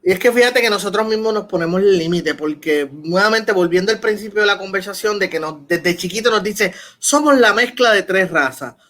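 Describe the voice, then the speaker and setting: Give this a Puerto Rican man's voice, quite high in pitch. He co-hosts a casual discussion show and argues emphatically, often throwing out rhetorical questions to make his point. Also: brisk, few pauses, a bit bright. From Puerto Rico. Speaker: podcast co-host.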